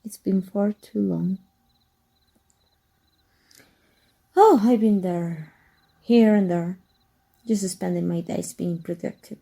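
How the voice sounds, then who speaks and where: A woman playing an exiled evil magic teacher in an ASMR roleplay, wry and fond, speaking softly and intimately with a husky, breathy voice. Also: lowish voice, full character voice. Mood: warm, casual. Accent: Mexican. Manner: wry, unhurried, intimate, addressing a former student.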